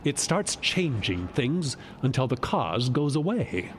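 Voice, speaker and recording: A man's voice: medium pitch, big pitch swings, slow pace. A man playing a chatty, knowing god who explains things emphatically in an audio drama. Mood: explaining. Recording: noisy outdoor ambience behind.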